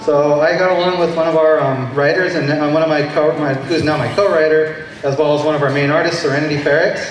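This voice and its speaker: Convention presenter, man, conversational, slightly high pitch, heard far off in a heavily echoing room. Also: fairly even intonation, fast, slight background noise. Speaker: panel presenter, production team member. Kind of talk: informal convention panel talk. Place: large indoor convention panel room. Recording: very echoey convention hall, from far off.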